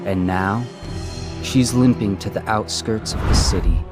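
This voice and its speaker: An actor playing a calm, otherworldly male god-spirit who tells a story in a measured, detached way in a game. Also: fairly low pitch, lightly acted. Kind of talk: voiced game narration. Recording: game music behind.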